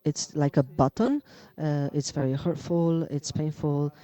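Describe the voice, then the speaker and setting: Halting man giving a medical lecture. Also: a little high, steady tone, mellow. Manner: halting, many fillers and restarts. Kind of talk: conference lecture.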